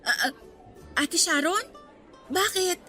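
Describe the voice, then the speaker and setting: A woman's voice: extremely high pitch, expressive, slow, bright, forceful tone, faint music behind, heavily acted. A woman acting a good-natured, teasing young student in a radio drama, with a Filipino accent and girlish, playful delivery. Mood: helpful, eager.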